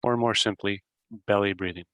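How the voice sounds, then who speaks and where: A man leading a guided meditation as host, giving simple instructions in short phrases with long pauses. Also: fairly low pitch, fairly even intonation, sharp tone, no echo, studio quality.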